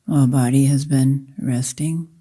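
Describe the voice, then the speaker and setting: A woman meditation teacher guiding a practice in slow, deliberate short phrases with long pauses. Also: a bass voice, fairly even intonation, dark tone, husky. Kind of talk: guided meditation, slow spoken instruction.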